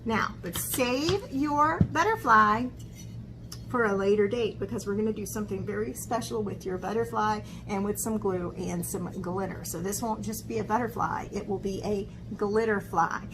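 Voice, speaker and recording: A woman art teacher talking to young children in an expressive, encouraging way, as in a lesson or picture book read-aloud. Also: medium pitch, moderate pace, noisy background. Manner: expressive, encouraging talk aimed at young children, with dramatic gasps.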